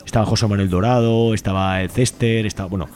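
Guy talking really fast, soft mellow tone, casual radio chat, super talkative. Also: on the low side, fairly even intonation. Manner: talkative, colloquial, seeks agreement with question tags. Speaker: community library volunteer, interview guest.

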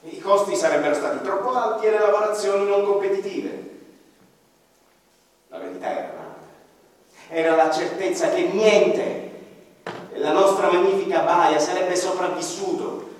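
Man, theatrical radio-play narrator, slow and dramatic with hushed low passages, somewhat high voice, heard from a distance in a very echoey hall. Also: fairly even intonation. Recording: echoey hall, from far off, faint ambience.

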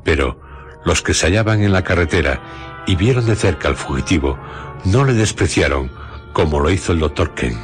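A very deep-voiced man narrates a radio drama in Castilian Spanish accent. His phrasing is measured and deliberate, with music clearly playing behind him.

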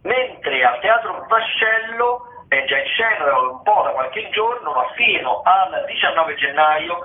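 A man's voice: sits high, big pitch swings, unhurried. A man, a theatre critic, calling in to a radio show and running loosely through the week's theatre listings with many fillers and self-corrections. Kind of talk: casual radio rundown of weekly theatre listings. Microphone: phone call into radio studio. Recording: tinny phone line with echo.

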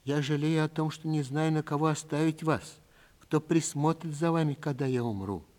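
A man voicing a gentle, resigned old woman who speaks quietly and earnestly in a literary story. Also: a little high, normal speed, pitched above the performer's own voice, close to an everyday voice. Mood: tender, sorrowful. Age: older.

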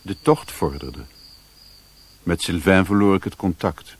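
An actor playing a sombre adventurer who narrates his journey in a radio play, with a husky voice. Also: low-pitched, a little slow, slight background noise. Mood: subdued.

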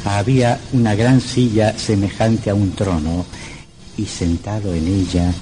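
A man's voice: lowish voice, steady tone, measured pace, dark tone. A man narrating a scripted old radio horror drama in a dramatic, suspenseful manner.

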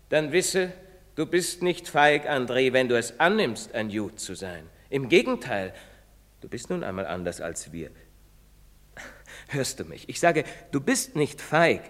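An actor playing a priest who urges a young man fervently, then confesses in hushed whispers. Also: fairly high pitch. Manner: preaching, insistent, dropping to guilty whispers. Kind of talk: radio play acting. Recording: long reverberant studio echo.

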